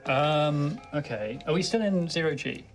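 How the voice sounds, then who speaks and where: A man's voice: on the high side, lively intonation, measured pace, faint music behind. A man chatting casually as a player in a tabletop roleplaying game, talking through his moves.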